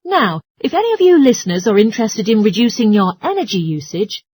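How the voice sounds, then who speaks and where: Woman as a lively female radio anchor. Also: a little high, brisk, breathy edge, no room sound. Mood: formal, presenting. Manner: formal, presentational. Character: female radio anchor addressing listeners about home living. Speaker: radio presenter. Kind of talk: scripted radio broadcast talk.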